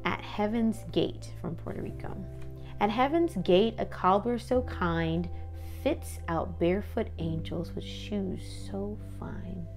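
Creaky-voiced woman reading to children, music behind. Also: medium pitch, slow, a little mellow. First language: English. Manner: sing-song recitation of rhymes, informal asides. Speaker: read-aloud host. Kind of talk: expressive children's picture-book read-aloud.

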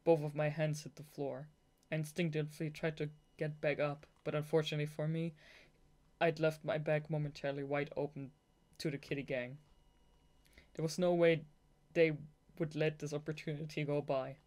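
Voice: mid-range voice, little pitch movement, normal speed, darkish tone. Young amateur audiobook reader, native German speaker. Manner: giggly, gushing fangirl asides between read passages. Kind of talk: amateur audiobook narration with excited asides.

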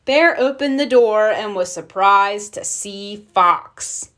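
A woman narrating a children's storybook aloud, expressively, with deliberate storytelling emphasis. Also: medium pitch, fairly bright tone. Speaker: storybook reader.

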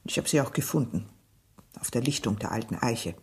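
An actress playing a bereaved middle-aged shopkeeper mother in a German radio crime drama, halting, formal and restrained. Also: rumbling low, animated, a little mellow, slight background noise. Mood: subdued, distressed. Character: bereaved village shopkeeper mother, guarded and subdued.

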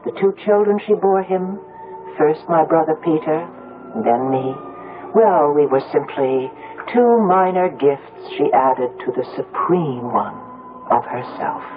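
An actress playing a reflective, grieving grown daughter who tells her story in measured, literary style in a radio drama. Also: sits low. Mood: wistful. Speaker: lead actress and narrator. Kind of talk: 1970s radio drama acting. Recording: muffled phone-like old radio recording, music.

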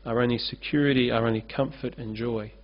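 A pastor in his forties delivers an earnest sermon from a church stage, gesturing as he speaks into a lectern microphone. His voice sits at an ordinary middle pitch. The recording is somewhat dull and lo-fi. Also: fairly even intonation, a little fast. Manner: earnest, gestures freely while preaching at a lectern. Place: church stage with curtain backdrop. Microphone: lectern-mounted microphone.

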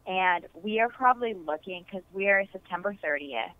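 A woman's voice: on the low side, lively intonation, normal speed, no echo. A woman speaking as a client in a casual, polite phone conversation, heard through a narrow telephone line. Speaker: client planning a wedding. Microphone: phone call.

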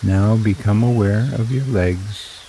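Slow, deep-voiced male meditation guide. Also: warm tone, slight background noise, poor audio. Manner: slow, soothing, drawn-out phrases.

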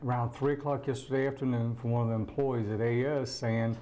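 Mellow middle-aged man, plain-spoken. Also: mid-range voice, level tone, fast talker, rough recording. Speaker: business owner being interviewed. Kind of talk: unscripted interview answers. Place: small office. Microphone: lapel mic.

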